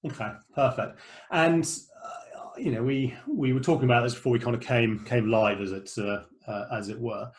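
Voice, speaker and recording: A man's voice: medium pitch, moderate pace. A middle-aged man hosting a podcast from home over a remote call, talking in a loose, rambling way with lots of fillers and restarts. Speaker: podcast host. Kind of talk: casual podcast interview.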